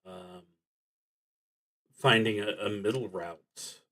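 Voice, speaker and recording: Slow, low-pitched man thinking aloud. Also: creaky, bright, forceful tone. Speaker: solo tabletop roleplaying streamer. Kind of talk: livestreamed solo game play, thinking aloud and reading prompts.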